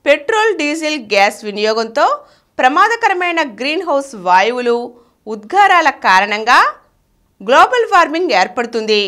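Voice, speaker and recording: A woman's voice: sits high, a bit bright. A woman reads a scripted informational article aloud in a formal way, phrase by phrase. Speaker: narrator reading an article aloud.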